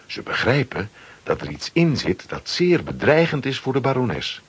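A man with a husky voice narrating a children's adventure radio play in dramatic, scripted storytelling style. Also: medium pitch, big pitch swings, moderate pace.

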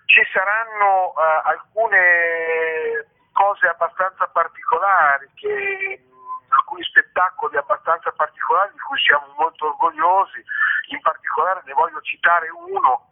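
A middle-aged man with a fairly high voice gives an interview over a thin, telephone-like connection. He explains as an event director would, haltingly, doubling back on words and correcting himself. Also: big pitch swings, vocal fry. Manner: hesitant, with repeated words and self-corrections. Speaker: festival director being interviewed. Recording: narrow, tinny phone line.

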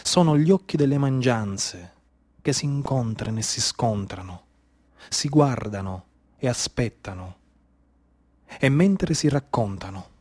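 A man with a Sicilian accent performing a dramatic storytelling monologue in a declamatory, incantatory way with deliberate, repeated phrasing. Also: medium pitch, lively intonation, darkish tone. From Sicily, Italy. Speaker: stage storyteller, actor.

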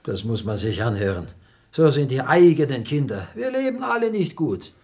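An actor playing an older rich uncle in a radio play, miserly, grumbling and emphatically pleading poverty. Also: male voice, mid-range voice, sing-song, moderate pace, darkish tone. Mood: indignant, lamenting. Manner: agitated, complaining, emphatic.

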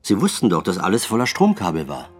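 A senior detective in a radio drama interrogation. His voice is low and swings freely in pitch, as he probes with dry, formal courtesy. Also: wildly swooping pitch, fast, soft background music. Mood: pressing, dry. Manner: probing, formally polite, dry. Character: senior police detective, probing, dryly sarcastic interrogator. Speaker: police detective. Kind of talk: radio play dialogue.

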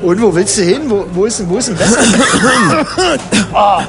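A man's voice: high voice, normal speed, over music. A man in casual, rambling conversation, exclaiming and mock-dramatic in manner.

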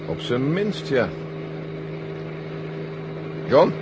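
An actor playing a gruff, short-tempered army general in a 1970 radio drama, commanding and blunt. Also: male voice, mid-range voice, big pitch swings, very slow. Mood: brisk, commanding. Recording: noisy old radio recording, engine drone.

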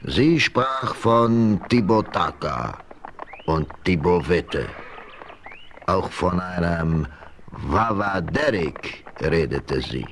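An actor playing an old Osage chief in a 1970s radio play, deliberate and grave, speaking of himself by name. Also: male voice, low-pitched, animated, fairly bright tone, a little hiss or hum, full character voice. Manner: slow, deliberate, speaks of himself in third person.

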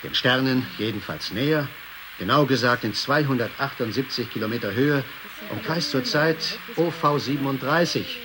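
A sober business news reporter, played by a man in a radio play. The delivery is formal and measured at a middling pitch, with clear noise behind it. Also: lightly acted. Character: sober male stock exchange news reporter. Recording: clearly noisy background ambience.

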